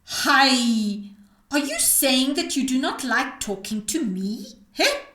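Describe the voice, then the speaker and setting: A bossy, touchy old witch from a storybook, played by a woman reader. The voice is rough and pushed high and loud. The words are stretched out slowly in a ringing room. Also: sing-song, heavily acted. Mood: offended. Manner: loud yelling, drawn-out calls. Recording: roomy, echoing sound.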